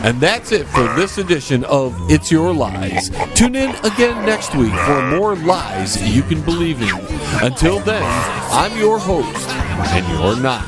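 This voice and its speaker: Brash, loud game show host, acted by a man. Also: on the high side, big pitch swings, a little slow, few pauses, loud music behind the voice. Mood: showy. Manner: showy announcing.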